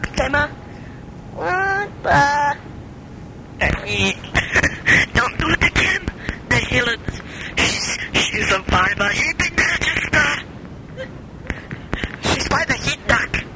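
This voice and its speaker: Playful adult, high lively voice, slow giggly chat over heavy road noise. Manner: giggly, silly, breaks into song and goofy voices. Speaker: adult child recording with mother. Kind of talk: casual chat.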